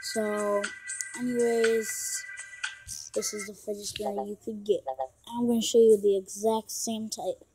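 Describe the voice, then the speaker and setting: A woman's voice: medium pitch, little pitch movement, unhurried, creaky, mellow, poor audio. A young female gaming YouTuber talking casually through a phone game tutorial, rambling and apologizing with lots of fillers, recorded on a phone at home. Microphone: phone microphone. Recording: game music over phone-mic voice. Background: game music.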